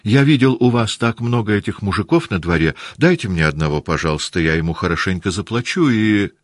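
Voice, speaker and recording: A smooth, fawning young officer asking favors in a slightly raised voice, as a male reader puts him on. Close and dry. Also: fairly low pitch, lively intonation, brisk, no room sound, pitched above the performer's own voice. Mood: ingratiating. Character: polite young officer, ingratiating.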